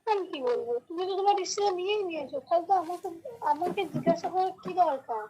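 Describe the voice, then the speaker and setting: A woman's voice: very high voice, animated, quick pace. A young woman speaking curtly and reproachfully in a casual conversation over a noisy phone call. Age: young adult. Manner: curt, reproachful.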